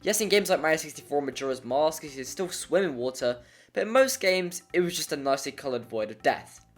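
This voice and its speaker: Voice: medium pitch, lively intonation, a little fast. Informal video essay narrator over music. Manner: informal, jokey, rambling asides. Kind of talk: scripted video essay narration with casual asides. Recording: music under the voice. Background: background music.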